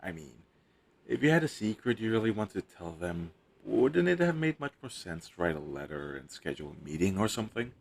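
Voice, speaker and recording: Man acting as a deep gravelly teasing captive hero. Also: big pitch swings, a little fast, exaggerated voice. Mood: wry, teasing. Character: captured superhero posing as a hostage, teasing, flirtatious, coy. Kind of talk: intimate scripted audio roleplay.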